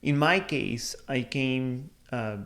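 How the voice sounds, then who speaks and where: A man's voice: mid-range voice, slow pace, a bit bright. Earnest Peruvian-born man giving career advice. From Peru. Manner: thoughtful, restarts and fillers, earnest storytelling. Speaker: career advice video host. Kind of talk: casual personal talk to camera.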